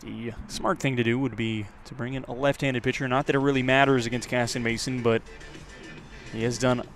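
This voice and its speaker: A man's voice: medium pitch, flat delivery, faint music behind. American man calling baseball play-by-play. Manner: measured talk that jumps louder on plays. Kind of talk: live sports radio commentary.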